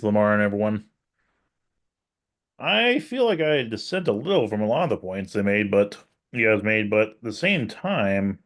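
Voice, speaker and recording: A man with a lowish voice speaks over a video call. He is evaluating a speech in a thoughtful, self-critical way and often restarts his sentences. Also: a bit bright. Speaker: podcast co-host giving speech evaluation. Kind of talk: casual evaluative discussion. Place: virtual meeting.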